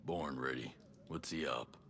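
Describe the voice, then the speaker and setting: A low, tough soldier's voice, blunt and dogged, with no patience for nonsense. It is game-cutscene acting with clear hiss and rain behind it. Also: male voice, a little slow, a bit bright. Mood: grim, resolute. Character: tough, blunt male special forces soldier, dogged and vengeful.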